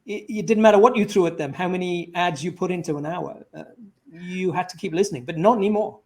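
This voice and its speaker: A male interviewer with a voice pitched a bit above average for a man. He talks conversationally, setting up his questions with wordy, reflective commentary. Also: quick pace, darkish tone. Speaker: interviewer, host.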